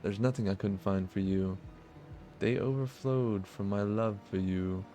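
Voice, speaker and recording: An abandoned wife back from death speaks in a visual novel, read by a man in his low voice. She is poetic and solemn, full of love and reproach, over faint music. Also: measured pace, dark tone, close to an everyday voice. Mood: devoted. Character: eerie, lovelorn, reproachful wife returned from death.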